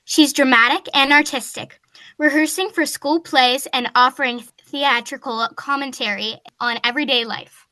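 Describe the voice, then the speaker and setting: A woman's voice: high-pitched, fairly even intonation, normal speed, bright, forceful tone, no room sound. A female voice reading a scripted character introduction for an audio play.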